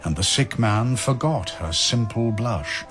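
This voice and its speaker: A man narrating verse as a dramatic storyteller, in a measured, solemn, literary manner. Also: fairly low pitch, animated, a bit bright, soft background music.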